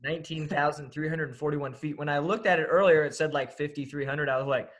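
A man's voice: a little high, steady tone, quick pace, heavy background noise. A man co-hosting a casual podcast, playful and self-mocking while reading trivia. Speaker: podcast co-host.

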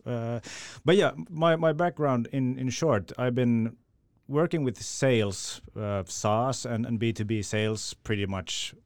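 Sales guy, smooth lowish voice, dry mic, upbeat. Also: unhurried, no room sound. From Finland. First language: Swedish. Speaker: sales director. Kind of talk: podcast interview answer.

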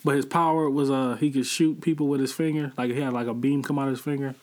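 A young man chatting casually as a podcast co-host, excitable and rambling, quick to get loud and laugh. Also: mid-range voice. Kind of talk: casual chat.

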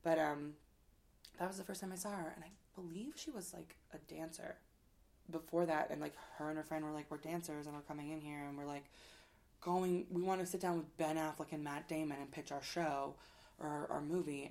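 A woman speaking frankly and at length in a casual interview, rambling with fillers and casual swearing, who says her voice is loud. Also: sits low, fairly even intonation, fairly quick, vocal fry. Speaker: guest. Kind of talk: candid conversational interview.

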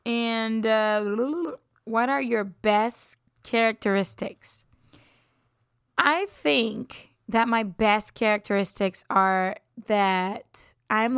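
A woman's voice: medium pitch, smooth, dry recording, studio quality. Upbeat young woman from Puerto Rico. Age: 20s. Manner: upbeat, laughs often, drops into whispers. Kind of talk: casual self-introduction.

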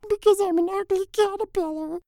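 Man doing a squeaky little girl caterpillar. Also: fairly even intonation, a little fast, warm tone, no echo, clean studio sound. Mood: sobbing.

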